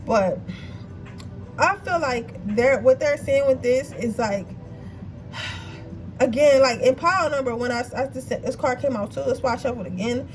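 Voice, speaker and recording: High-voiced chatty woman, slightly stuffy. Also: unhurried, long pauses, faint music behind. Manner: chatty and blunt, swears freely, keeps checking in with viewers. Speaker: tarot card reader. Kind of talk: casual pick-a-card tarot reading. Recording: distant mic with noisy background.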